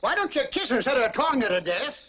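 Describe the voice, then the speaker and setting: Crotchety older male neighbor, high voice, blunt, exasperated, 1940s film style, played through hall speakers. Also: very animated, quick pace, pushed and bright, slightly rough, full character voice.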